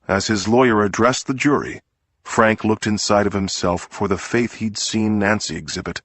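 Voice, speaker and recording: A man's voice: fairly low pitch, fairly bright tone, slightly rough, no echo. A man reading scripted narration of a crime story as an audiobook narrator.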